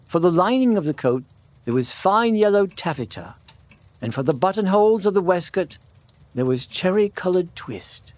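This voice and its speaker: A man narrating a classic children's story in measured phrases with dramatic pauses. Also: high voice, expressive, darkish tone, no echo.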